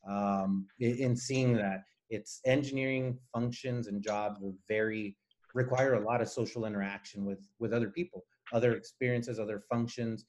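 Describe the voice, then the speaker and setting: Low-voiced man from Nicaragua, native Spanish speaker. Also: steady tone, normal speed, a little mellow. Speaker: guest engineer. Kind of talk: conversational interview answers.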